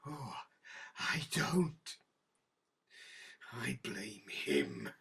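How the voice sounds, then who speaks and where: An actress playing an embittered, guilt-ridden middle-aged senior police detective with a British accent, halting and volatile in a naturalistic audio drama. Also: female voice, very deep voice, expressive, vocal fry, a bit bright, husky, full character voice. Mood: grieving, accusing. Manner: halting, bitter, emotionally volatile.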